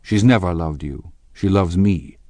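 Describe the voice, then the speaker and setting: Man playing a deep-voiced, proud gentleman. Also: no room sound. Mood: defiant. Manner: polite, measured, turning intense. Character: proud lovestruck gentleman, polite but defiant. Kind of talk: audiobook character dialogue.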